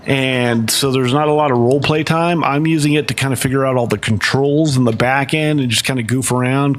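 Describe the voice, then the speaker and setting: Guy streaming, rambles on explaining stuff, lots of ums and restarts. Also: medium pitch. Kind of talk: casual live-stream chat.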